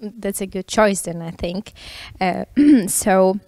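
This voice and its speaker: A woman's voice: medium pitch, fairly even intonation, slow pace, mellow, dry recording. Hesitant woman interviewer, dry sound. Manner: hesitant, many fillers and restarts. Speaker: interviewer host. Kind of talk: studio interview.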